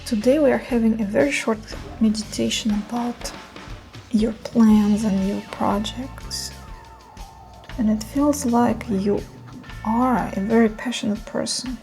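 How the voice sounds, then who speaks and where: A woman leading a guided meditation in a soothing, unhurried manner with gentle pauses. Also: on the high side, fairly even intonation, creaky, soft background music.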